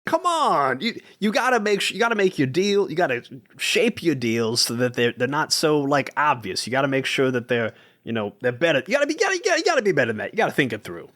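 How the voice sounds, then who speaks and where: A man's voice: a little high, sing-song, quick pace, hardly any pauses, a bit bright. A man playing an exasperated adventurer who rambles and pleads with sarcasm, in a tabletop game. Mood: exasperated, pleading. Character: exasperated, fast-talking adventurer haggling over deals.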